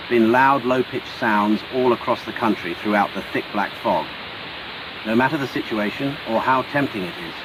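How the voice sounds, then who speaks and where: A man's voice: mid-range voice, lightly acted. A man with a British accent playing a grave TV emergency announcer, reading formal, urgent scripted news over hissy audio.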